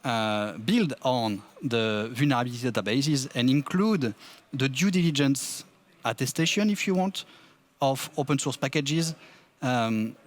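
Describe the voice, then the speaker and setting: A man's voice: medium pitch, expressive, measured pace. A man presenting at a tech conference, often restarting and repeating words. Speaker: conference speaker.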